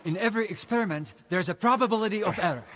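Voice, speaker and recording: Agitated, fast, high-voiced game character. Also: male voice, fairly bright tone, in a large hall. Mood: urgent, insistent. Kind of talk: video game cutscene acting.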